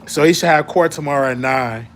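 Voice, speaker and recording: A man hosting a casual live show, making a playful phone call in a laid-back, quietly amused way. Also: fairly high pitch, a little fast. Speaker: host.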